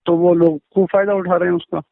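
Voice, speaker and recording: A man's voice: high-pitched, flat delivery, fast, vocal fry, dry recording. Reserved man on tinny phone. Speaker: shrine custodian taking a call. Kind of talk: phone conversation. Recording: tinny narrowband phone call recording.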